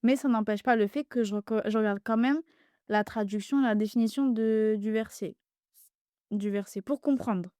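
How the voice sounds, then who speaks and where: Young woman, arabic dialect native, halting chatty talk, dry close sound. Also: fairly high pitch, no echo. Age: young adult. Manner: hesitant, many fillers and restarts, animated. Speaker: podcast guest. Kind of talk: casual conversation.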